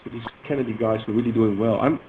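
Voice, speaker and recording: A man with a Dutch accent explaining database queries in a live demo, halting and full of fillers. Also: on the low side, fairly even intonation. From Netherlands. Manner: halting, filler-heavy, self-correcting explanation. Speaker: software presenter demonstrating database queries. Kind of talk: live technical demo talk. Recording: tinny phone-like audio with faint noise.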